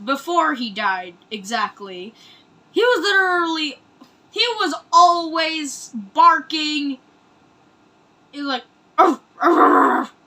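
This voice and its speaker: A woman hosting a reaction video, commenting casually in an excitable, exclamatory, rambling way. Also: sits high, slow pace, long pauses, poor audio.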